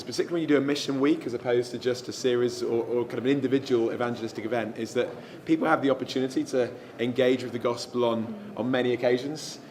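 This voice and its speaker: A man answers interview questions in an ordinary mid-range voice, relaxed and thoughtful, with frequent ums. Clear background noise sits behind him. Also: medium pitch. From England. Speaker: evangelist guest.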